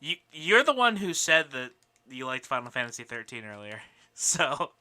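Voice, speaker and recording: A man chatting casually on a podcast panel, animated and bantering. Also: medium pitch, normal speed. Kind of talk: casual chat, gaming debate.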